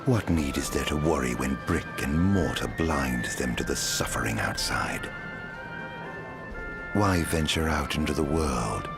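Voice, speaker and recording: A man's voice: extremely low pitch, animated, unhurried, vocal fry, heavily acted. An actor playing a bitter, theatrical man bent on revenge, with a sardonic drawl, in a video game. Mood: bitter, brooding. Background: loud music.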